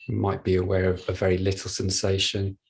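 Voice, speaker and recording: Male meditation teacher, husky and low, flat and gentle delivery, unhurried guided practice. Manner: unhurried, gentle, with long pauses. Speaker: meditation teacher leading a body scan. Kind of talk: guided meditation, soft instruction.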